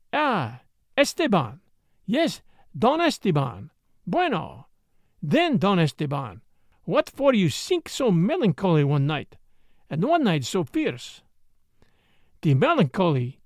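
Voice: medium pitch, no room sound, clean studio sound, full character voice. A narrator voicing a pert, childlike young Mexican woman in a storybook, speaking broken English with a Spanish accent and a teasing lilt. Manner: saucy, lilting, childlike.

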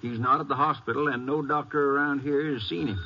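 An actor playing a folksy, matter-of-fact small-town sheriff in a 1950s radio western. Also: male voice, mid-range voice, fairly even intonation, a little fast, lightly acted. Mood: businesslike. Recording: muffled, hissy 1950s radio recording.

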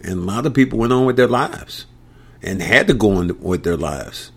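A middle-aged man, a sports broadcaster, talking sports in a reflective way, often pausing on fillers. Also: lowish voice, lively intonation, husky. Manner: reflective, halting with frequent fillers. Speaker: sports broadcaster and podcast co-host. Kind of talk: casual sports talk.

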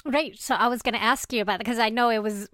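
A woman's voice: a little high, fast, fairly bright tone, dry recording, clean studio sound. A woman from Venezuela hosting a podcast interview, bubbly and chatty, sometimes tripping over her words. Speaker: podcast host. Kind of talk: casual interview.

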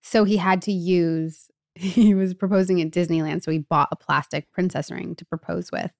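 An American woman chatting casually as a podcast co-host, telling stories in an animated way full of "like" and quoted dialogue. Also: fairly low pitch, moderate pace, gravelly, no room sound, studio quality. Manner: animated storyteller, peppers talk with "like" and quoted dialogue. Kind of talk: casual anecdotal chat.

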